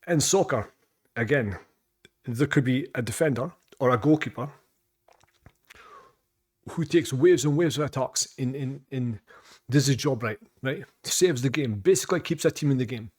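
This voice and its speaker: A man's voice: mid-range voice, darkish tone, breathy edge. A Scottish man rambling through casual reaction commentary, with lots of fillers, restarts and swearing. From Scotland. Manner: rambling and repetitive, with frequent fillers and profanity. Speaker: reaction vlogger.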